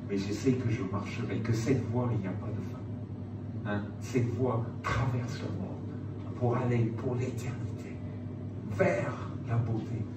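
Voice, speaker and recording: A man preaching and praying in an emphatic, pleading way, repeating short phrases as he appeals to his listeners. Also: mid-range voice. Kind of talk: evangelistic sermon with altar call and prayer. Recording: echoey room, distant mic, clear background noise.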